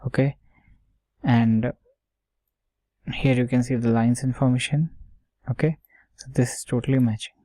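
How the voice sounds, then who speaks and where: A man talking through an informal screen-share coding tutorial, thinking aloud step by step in a halting way and often checking himself. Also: medium pitch, fairly even intonation, mellow, no room sound. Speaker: software tutorial instructor.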